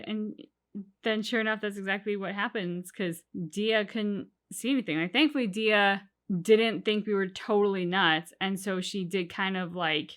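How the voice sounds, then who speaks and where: A woman's voice: medium pitch, fairly bright tone. A woman co-hosting a reaction show, talking casually and reflectively through her opinions.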